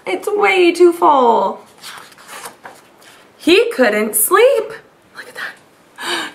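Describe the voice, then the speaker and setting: A woman in her thirties reading a picture book aloud expressively, speaking as a teacher to young children. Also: sits high. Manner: slow, deliberate, addressing young children. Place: small home room, indoors.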